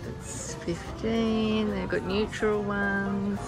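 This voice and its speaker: A woman's voice: medium pitch, level tone, drawn-out words, over music. An Australian woman chatting casually as she films a shopping vlog in a large store, often laughing. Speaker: vlogger. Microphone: handheld phone camera.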